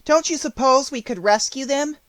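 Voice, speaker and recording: A woman's voice: sits high, sing-song, slow pace, fairly bright tone, dry recording, voice pushed up, heavily acted. A woman voicing a plucky, earnest little girl in a storybook, speaking with emphatic feeling. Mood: anxious.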